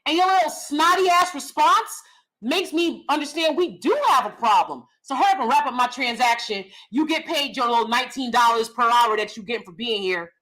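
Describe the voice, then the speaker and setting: A young adult woman hosting a casual commentary vlog, loud and blunt, cursing freely. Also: high-pitched, fairly quick, low-quality recording. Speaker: vlog host.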